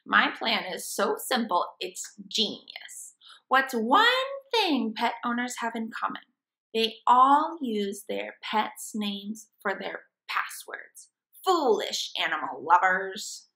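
A woman's voice: on the high side, very animated, slow pace, bright, forceful tone, exaggerated voice, rough recording. A woman voicing a small, scheming evil genius hamster from a comic book, in grandiose villainous monologue. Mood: gloating. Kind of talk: dramatic comic-book read-aloud.

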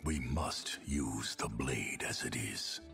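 A man's voice: extremely low pitch, takes it slowly, gravelly, a bit bright, faint music behind, exaggerated voice. A man playing a grim, resolute warrior hero in a video game, speaking solemnly. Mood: grim resolve. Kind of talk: dramatic video game dialogue.

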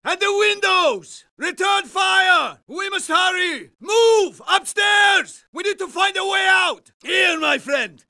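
Gravelly, vengeful Russian sniper sergeant. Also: male voice, very high voice, lively intonation, sharp tone, shouting, exaggerated voice. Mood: desperate, furious. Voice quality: gravelly, husky. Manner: dramatic, deliberate, theatrical delivery. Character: vengeful middle-aged Soviet sniper sergeant, fervent and commanding. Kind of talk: video game character acting.

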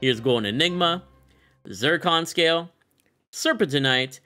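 Bright-voiced enthusiastic young man, gaming commentary. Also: a little high, animated, a little slow, soft background music. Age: young adult. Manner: slangy, enthusiastic. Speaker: gaming content creator and host. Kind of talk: casual excited game bundle showcase commentary.

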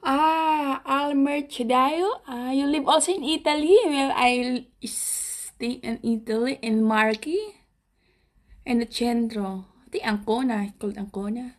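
A young woman from the Philippines with a Filipino accent, chatting casually and shyly in halting English on a phone livestream at home. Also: sits high, fairly even intonation. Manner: shy, hesitant, giggly, halting non-native English. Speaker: livestream host. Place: small room at home. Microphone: phone at arm's length.

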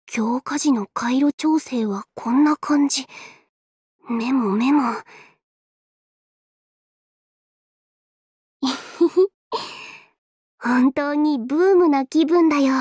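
A woman's voice: high voice, a little mellow, no echo, clean studio sound. A woman voicing a shy, self-effacing young android fighter in an anime game, hesitant and earnest, with a tendency to stammer. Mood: earnest, focused. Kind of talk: Japanese anime game voice lines.

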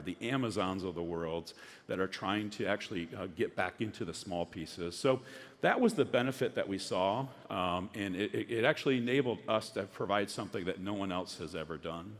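A middle-aged man giving a conference keynote on a large stage, earnest and full of restarts. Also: on the low side. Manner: earnest, repeats words, many restarts. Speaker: conference speaker. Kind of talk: keynote presentation.

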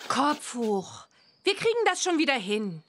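High, eager young witch girl. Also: big pitch swings, a little slow, very noisy. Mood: determined. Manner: bright, eager, girlish. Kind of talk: cartoon game voice acting.

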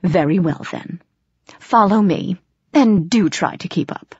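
A woman's voice: fairly low pitch, lively intonation, measured pace, husky, full character voice. A haughty male faery cat, as voiced by a woman narrator in a storybook reading. He talks down to his listener in formal, disdainful phrases. The sound is a little dull and roomy. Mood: cool, measured warning.

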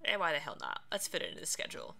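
A woman's voice: low-pitched, wildly swooping pitch, very fast, gravelly, bright, forceful tone, faint music behind. A woman streamer giving casual, playful game commentary, sometimes theatrical in delivery. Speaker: streamer, let's play host.

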